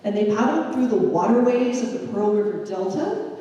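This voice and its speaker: A woman giving a public history lecture, telling a migrant's story in a measured, unhurried way. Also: mid-range voice, animated, dark tone, lo-fi sound. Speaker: history presenter. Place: lecture hall. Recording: echoey hall from far off.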